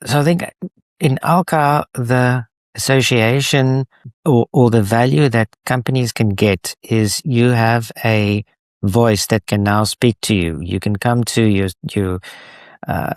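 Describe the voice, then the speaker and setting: A man with a South African accent, a native Afrikaans speaker, answering interview questions in a halting way with many restarts. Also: mid-range voice, dry recording, studio quality. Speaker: guest, association co-founder.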